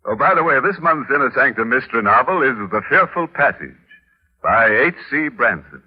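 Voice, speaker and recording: An actor playing a gleefully morbid, mocking horror host on a 1940s radio show, theatrical and sardonic, on an old recording. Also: male voice, mid-range voice, very animated, normal speed, breathy edge. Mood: jovial, macabre. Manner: sardonic, theatrical, macabre humour. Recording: muffled vintage radio recording.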